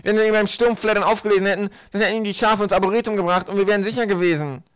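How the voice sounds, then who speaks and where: A man voicing a quarrelsome, accusing islander in an agitated, shouting manner. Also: sits high, fairly even intonation, rapid-fire, clean tone, no echo, voice pushed up.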